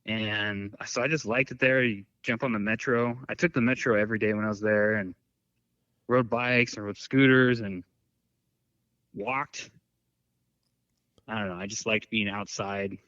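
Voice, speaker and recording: A man's voice: on the low side, fairly even intonation, measured pace, pauses often, a bit bright, no room sound. A man chatting casually as a podcast guest, telling loose stories full of fillers. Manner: rambling, filler-heavy storytelling. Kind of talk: casual chat. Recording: dull, dry lo-fi sound.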